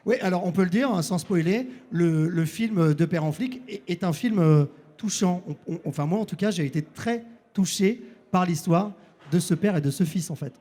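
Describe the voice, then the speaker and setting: A man's voice: high-pitched, moderate pace, warm tone. A man with an accent from France, conducting a casual interview, often rephrasing and checking that he has understood. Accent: French from France.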